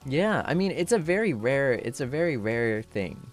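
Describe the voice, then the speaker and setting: A young man streamer chatting casually with viewers, laughing often and thanking them warmly, moving between a low relaxed voice and a higher one. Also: moderate pace, faint music behind. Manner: shifts between low chill voice and high voice.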